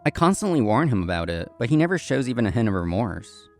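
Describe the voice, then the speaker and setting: Expressive, creaky young café worker narrating, male actor. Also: medium pitch, fast talker, soft background music. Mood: earnest, reflective. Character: earnest, polite young male café assistant manager. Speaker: narrator and lead actor of a manga story video. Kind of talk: scripted first-person narration.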